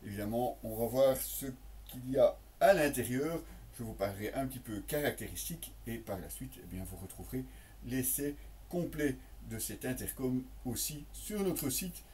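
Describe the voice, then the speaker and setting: Distant-miked man, mellow voice. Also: mid-range voice, a little hiss or hum. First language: French. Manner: hesitant, piecemeal phrasing with frequent drawn-out euhs. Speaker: motorcycle gear reviewer. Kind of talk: unboxing presentation, casual unscripted commentary. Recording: slightly distant microphone.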